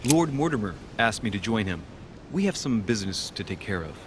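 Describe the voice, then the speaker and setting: A man voicing a wry young gentleman in a video game cutscene, dry and sardonic. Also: lowish voice, sing-song, a little fast, background noise. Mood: guarded, polite. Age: young adult. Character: wry young gentleman searching for his missing mother. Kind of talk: video game cutscene acting and inner monologue.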